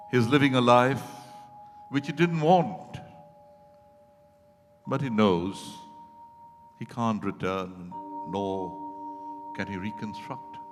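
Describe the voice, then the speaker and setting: Man acting lonely ageing man, slow, echoey hall. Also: fairly low pitch. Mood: wistful, lamenting. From India. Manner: halting, theatrical, repeats phrases for weight. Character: lonely, wistful ageing man living alone. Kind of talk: dramatized stage reading. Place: event hall at a hotel. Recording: echoey hall with long reverb.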